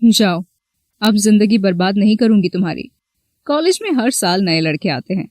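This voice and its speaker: A woman's voice: mid-range voice, animated, normal speed, a little mellow, natural voice. A woman playing a flirty, possessive, manipulative married college teacher in a scripted story. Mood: cold, threatening. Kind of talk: scripted Hindi audio story dialogue.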